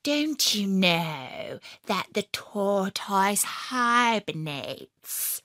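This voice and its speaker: A woman's voice: medium pitch, sing-song, sharp tone, no echo, clean studio sound, full character voice. A woman voicing a sly, know-it-all male fox in a children's story. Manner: slow and knowing.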